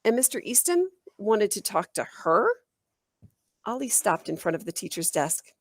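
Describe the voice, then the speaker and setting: A woman reading a children's book aloud in an animated, expressive storytelling style. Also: medium pitch, normal speed, no echo, studio quality. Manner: animated, acts out dialogue.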